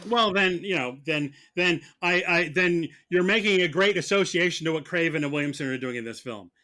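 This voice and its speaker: A man arguing as a mock prosecutor in a podcast debate, halting and repeating words as he presses his point. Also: fairly high pitch, bright, forceful tone. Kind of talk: comic debate on a film podcast.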